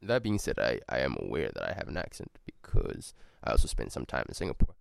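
Gravelly deep-voiced New Zealand guy. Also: huge pitch swings, fast talker, no room sound. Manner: rambling, swearing, self-correcting. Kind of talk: casual accent-tag vlog.

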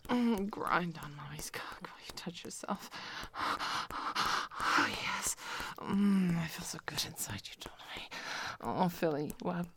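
Woman playing a dominant female lover, possessive, breathy whisper, lowish pitch, crackling vocal fry, sighing short phrases, intimate adult audio roleplay. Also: fairly bright tone, full character voice. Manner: murmured, drawn-out sighs between short phrases.

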